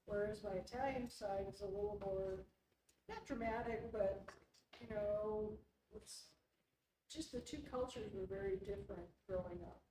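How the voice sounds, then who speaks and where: A woman's voice: mid-range voice, measured pace, mellow. A woman from the United States chatting casually in a book club discussion. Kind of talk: casual chat. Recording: echoey room from far off, noisy.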